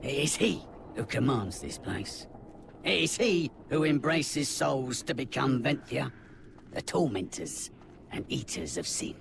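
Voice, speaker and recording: A man's voice: mid-range voice, animated, measured pace, vocal fry, very noisy. A man voicing a chatty, irreverent servant creature in a video game, theatrical and cheeky like a folksy guide. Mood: wry, ominous. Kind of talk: video game cutscene acting. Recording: music behind the voice.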